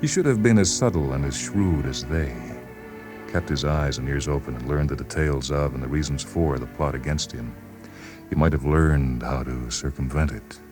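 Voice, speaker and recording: A man narrating a literary short story in a deliberate, brooding way with dramatic pauses. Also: a bass voice, darkish tone. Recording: music behind the voice. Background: music.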